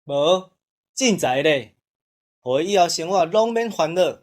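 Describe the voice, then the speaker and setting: A man's voice: on the high side, expressive, unhurried. A man playing a decisive old country grandfather with a Taiwanese accent in a radio drama. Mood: carefree.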